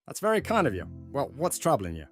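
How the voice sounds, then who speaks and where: A man voicing a kindly bar owner who calmly asks what is troubling the hostess, in an anime-style dub. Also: mid-range voice, fast, soft background music. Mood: concerned. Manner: calm, concerned.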